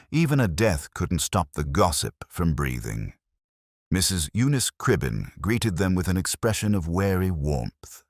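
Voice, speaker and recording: A man's voice: low-pitched, no room sound. A man narrating a scripted mystery story in a dry studio recording.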